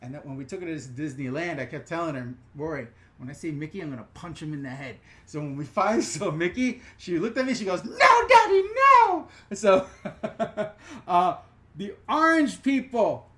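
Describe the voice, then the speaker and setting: A middle-aged man from New York with a New York accent, hosting a casual live Q&A, talking in an animated, profane, rambling way with lots of digressions. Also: sits high, moderate pace. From New York/New Jersey. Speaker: channel host answering live chat questions.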